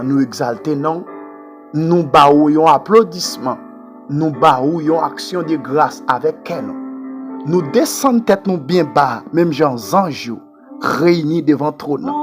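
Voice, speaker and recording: Haitian prayer leader, fervent and swooping. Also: medium pitch. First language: Haitian Creole. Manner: fervent, pleading, repetitive. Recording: music playing under the voice. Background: music.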